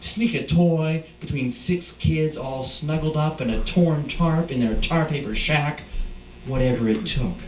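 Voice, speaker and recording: A man giving a live poetry reading, speaking deliberately in short emphatic phrases. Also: fairly high pitch. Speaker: poet reading at a live event. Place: indoor live reading venue. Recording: distant mic, echoey room, faint noise.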